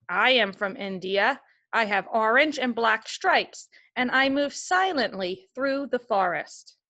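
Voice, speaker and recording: A woman's voice: on the high side, sharp tone. A woman teaching a remote class of young children, reading a picture book aloud and giving patient, step-by-step instructions. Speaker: elementary school teacher.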